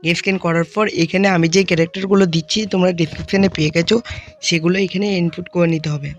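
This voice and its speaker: A tutorial host narrating software steps one by one, speaking informally to viewers as friends. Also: mid-range voice, fairly even intonation, very fast.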